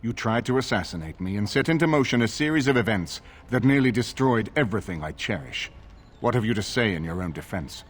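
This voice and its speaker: An actor playing a grave older nobleman in a fantasy video game, speaking formally and with measured authority. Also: lowish voice, animated, husky. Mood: stern, judging. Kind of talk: video game dialogue acting.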